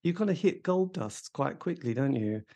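A man's voice: fairly high pitch, lively intonation, moderate pace, dark tone. A man interviewing a musician, asking long rambling questions that end in tags. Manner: rambling, leading questions with tag endings.

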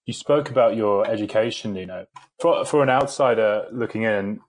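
A man's voice: lowish voice, normal speed, low-quality recording. A man hosting a football analysis podcast, introducing his guest and asking loosely phrased, polite questions with some fillers. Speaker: podcast host. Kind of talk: podcast interviewing.

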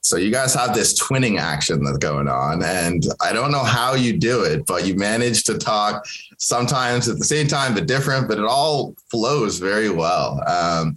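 A young man with a very low, deep voice hosts a conversation. He grew up in Kenya speaking Swahili first. He talks in a loose, friendly way, often pausing with fillers and restarting his sentences. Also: low-quality recording. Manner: relaxed and chatty, with many fillers and restarts. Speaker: podcast host. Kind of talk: conversational interview.

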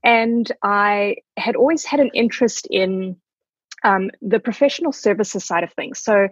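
A woman's voice: mid-range voice, a little slow, husky, dry recording, highs cut off. A woman in her forties, a podcast guest telling her story in a gracious, reflective way over a remote call. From South Africa. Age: 40s. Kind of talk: conversational interview.

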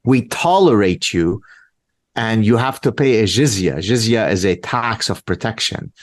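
A man's voice: fairly low pitch, lively intonation, measured pace. A man from Lebanon, a native Arabic speaker in his fifties, answering in an interview in an emphatic, lecturing way with rhetorical questions. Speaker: guest commentator.

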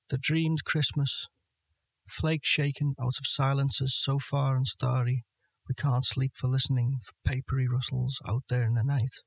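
A man recites poetry in a mid-pitched voice. His intonation stays level and contained, with little melodic movement. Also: gravelly. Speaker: poetry reader.

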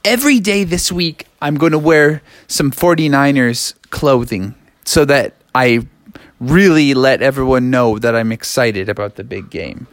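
A man voicing an excitable, eager young boy frog with a whiny, exclaiming way of talking in a storybook tale. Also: medium pitch, measured pace, no room sound, clean studio sound, close to an everyday voice. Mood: excited, fretting.

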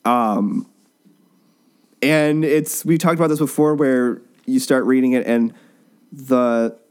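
A man with a mid-pitched voice hosts a relaxed comic book discussion. He talks in a joking, conversational way, interrupting himself and starting again as he goes. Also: slow pace, clean tone. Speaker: podcast host. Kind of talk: casual chat about comic books. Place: basement.

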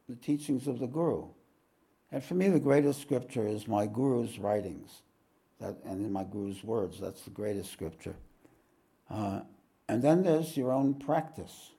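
A spiritual teacher with a croaky, hoarse voice giving a live satsang talk, speaking unhurriedly and plainly, often clearing his throat. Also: mid-range voice, dark tone.

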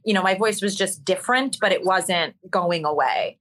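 A woman who performs in musical theater, speaking as an interview guest, telling stories in a candid, animated, conversational way. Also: medium pitch, normal speed.

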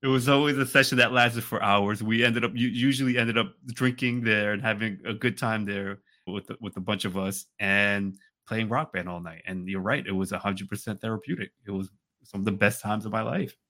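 Bright, forceful male podcast co-host. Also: on the low side, fairly even intonation, a little fast. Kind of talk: casual chat, storytelling.

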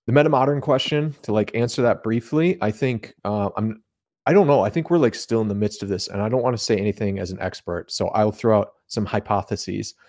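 A man with a fairly low voice speaks as a guest in a casual conversation about faith. He explains his points in loose, colloquial language, often slipping into imagined bits of dialogue to illustrate them. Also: fairly quick, darkish tone. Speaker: podcast guest.

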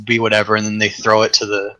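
A man chats as a podcast guest, talking in loose, rambling bursts full of restarts and fillers. His voice is bright, with a raspy edge creeping in. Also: lowish voice, completely flat delivery, fast, rough recording. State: voice getting raspy. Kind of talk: casual podcast chat.

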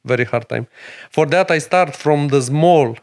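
Earnest Romanian man in broken English. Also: a little high. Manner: broken English, earnest and emphatic, many pauses. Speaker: restaurant owner and chef. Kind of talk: podcast interview.

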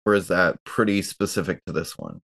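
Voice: sits low, fairly even intonation, brisk, creaky, fairly bright tone. A podcast co-host talking casually in an informal group chat.

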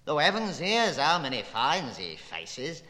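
A man's voice: fairly high pitch, huge pitch swings, unhurried, bright, forceful tone. Man reading theatrically in long reverb. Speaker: reader. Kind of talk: theatrical literary reading of an experimental novel.

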